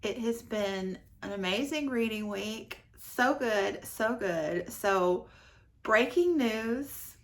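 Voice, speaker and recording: A woman's voice: fairly high pitch, slow. A woman giving a casual, effusive book review as a vlogger, often repeating words for emphasis.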